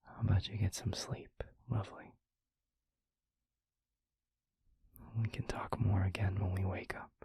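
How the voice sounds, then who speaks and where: A man playing a gentle, devoted vampire boyfriend in an intimate audio roleplay, speaking earnestly and haltingly in a breathy, husky near-whisper. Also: low-pitched, flat delivery, no room sound, full character voice. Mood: soothing, affectionate. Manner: slow, halting, tender reassurance.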